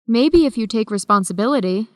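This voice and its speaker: A young woman played by an actress in a radio drama, principled and direct. Her voice is a touch high and firm, captured in a dry studio. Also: expressive, fairly quick, dry recording, natural voice. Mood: firm, indignant. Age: young adult. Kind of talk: educational radio drama acting.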